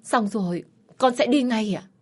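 A woman voicing a gentle, dutiful young widow in an audio story, earnest and deferential. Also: fairly high pitch, normal speed, voice pushed up, lightly acted. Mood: meek, earnest.

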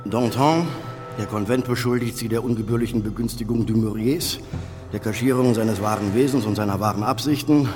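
A man plays a stern tribunal judge in a radio play. He speaks fast and formally in a lowish, officious voice that echoes in a big hall. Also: steady tone, lightly acted. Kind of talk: German radio drama acting. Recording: reverberant courtroom, music behind.

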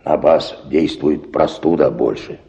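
Low dark-voiced choir singer, rambling and humble, complaining, muffled radio play. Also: male voice, a little slow. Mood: wordy self-excusing. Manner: rambling, clumsily formal, hesitant phrasing. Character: ponderous male church choir bass, wordy, obsequious, aggrieved.

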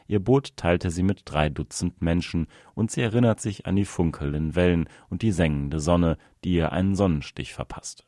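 A man with a very low voice narrates a documentary essay in a calm, measured, even reading. The recording is dry and close, with no room sound around him. His delivery stays scripted and steady. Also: dry recording.

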